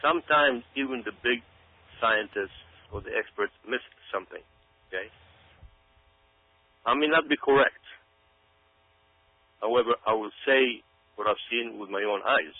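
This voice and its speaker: A man's voice: mid-range voice, measured pace, long pauses. A man from Israel giving emphatic, explanatory talk-radio commentary as a guest, often repeating phrases for stress. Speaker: author and researcher, radio show guest. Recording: tinny phone-like radio audio.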